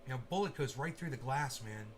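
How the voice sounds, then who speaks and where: A man's voice: medium pitch, lively intonation, quick pace, slight background noise. A man hosting a casual livestream, rambling and swearing freely while making sound effects with his mouth. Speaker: channel host.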